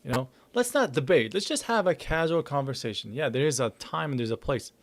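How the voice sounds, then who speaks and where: A man's voice: medium pitch, expressive, brisk. Blunt man arguing in a casual reaction. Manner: blunt, interrupting, argumentative. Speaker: live reaction host. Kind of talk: casual live commentary.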